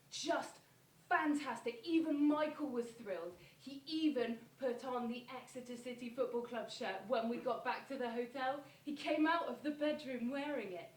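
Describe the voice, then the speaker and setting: A woman's voice: fairly high pitch, lightly acted. Earnest witness, stage monologue, played by a woman. Roomy distant sound with faint noise. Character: earnest witness to a bizarre football club event, verbatim theatre. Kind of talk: verbatim monologue performed on stage. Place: theatre performance space, distant microphone.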